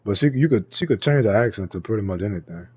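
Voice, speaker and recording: A man's voice: lowish voice, animated, fast, dark tone, husky. A man in casual chat, talking in heavy slang with lots of swearing and frequent laughter. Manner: slangy, profane, laughs often.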